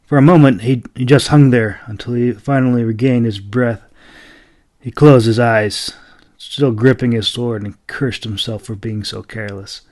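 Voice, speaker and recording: A man narrating a fantasy audiobook in a dramatic, expressive, deliberate storytelling style. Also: mid-range voice, no room sound.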